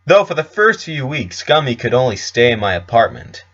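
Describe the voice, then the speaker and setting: A man reads a scripted tale as its narrator. His pitch is middling and the delivery is that of storybook reading. The recording sounds a little dull and lo-fi. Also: very animated, moderate pace, a bit bright. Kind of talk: storybook-style fan fiction reading.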